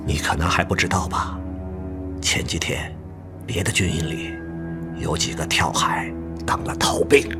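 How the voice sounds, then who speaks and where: An actor playing a brotherly but stern army officer in a Chinese radio drama, with emphatic, expressive delivery. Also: male voice, low-pitched, unhurried, long pauses, over music. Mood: grave, confiding. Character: hearty older army officer, brotherly yet stern male friend.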